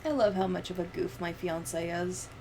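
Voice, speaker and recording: Low-pitched woman rambling on a livestream. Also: fairly even intonation, moderate pace, slight background noise, poor audio. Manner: rambling, thinking out loud. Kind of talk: casual livestream chat.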